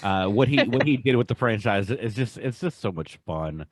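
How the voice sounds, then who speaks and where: A man's voice: fairly low pitch, lively intonation, normal speed, a little hiss or hum. A young man co-hosting a podcast in casual chat, rambling and stammering as he repeats words. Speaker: podcast co-host.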